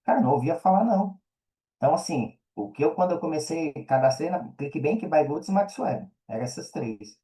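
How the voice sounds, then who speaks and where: A man's voice: a little high, expressive, fairly quick, warm tone. A man with a Brazilian accent in a casual podcast chat, talking in a slangy, conversational way and repeating words for emphasis.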